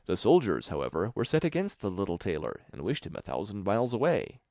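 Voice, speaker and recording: Deep, animated male storybook narrator. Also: quick pace, dry recording, studio quality. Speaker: audiobook narrator. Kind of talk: scripted fairy tale narration.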